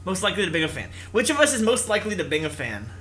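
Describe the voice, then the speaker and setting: A man's voice: sits high, big pitch swings, racing, a bit bright. A man hosting a gaming video, reading narration expressively and mixing in casual commentary.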